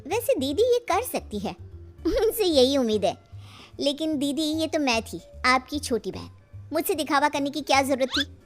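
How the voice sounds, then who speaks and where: Woman as a high-voiced, sassy younger sister. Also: sing-song, normal speed, soft background music. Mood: teasing. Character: teasing, sharp-tongued younger sister.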